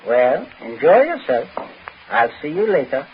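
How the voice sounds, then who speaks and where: Casting: smooth, menacing father in a radio thriller, lively swooping delivery, muffled vintage recording. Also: medium pitch, measured pace, background noise. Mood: falsely genial. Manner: smooth, commanding, genteel. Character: cold, controlling English father coercing at gunpoint, falsely genial. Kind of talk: 1940s radio acting.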